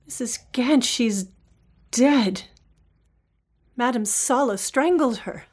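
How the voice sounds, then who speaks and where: A woman playing a nervous young woman at a seance, hushed and earnest, in old-time radio drama style. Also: fairly high pitch, slow pace, husky. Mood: tense.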